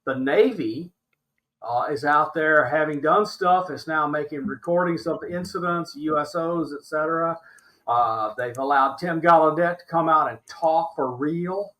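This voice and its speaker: An American man in his sixties with a fairly high voice for a man. He talks as an impassioned podcast guest, exasperated and emphatic, often repeating a phrase to drive it home. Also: unhurried, bright, forceful tone. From Alabama, United States. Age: 60s. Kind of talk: impassioned interview commentary.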